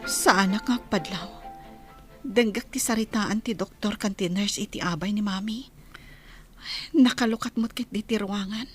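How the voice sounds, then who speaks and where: A woman acting an anxious, excitable village daughter in an Ilocano radio drama, emotional and exclaiming, with an Ilocano accent. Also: mid-range voice, normal speed, long pauses, soft background music, lightly acted. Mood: worried.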